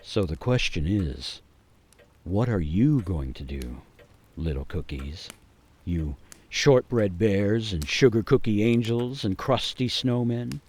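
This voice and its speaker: An actor playing a haunted gingerbread cookie who tells a grim cautionary tale in a suspenseful, theatrical radio monologue with deliberate pauses. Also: male voice, lowish voice, animated, a little mellow, breathy edge, exaggerated voice. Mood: urgent warning. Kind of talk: dramatic monologue for radio storytelling.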